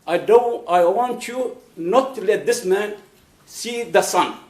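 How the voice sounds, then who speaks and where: A man's voice: high voice, slow pace, a little mellow. A man pleading to the court in a raised, impassioned manner. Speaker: speaker giving a victim statement. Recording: echoey courtroom.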